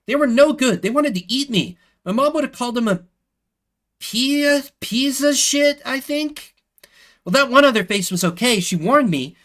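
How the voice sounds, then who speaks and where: A man voicing a cheeky, tough, energetic young girl in a video game, speaking in blunt, childlike phrasing. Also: very high voice, pitched above the performer's own voice.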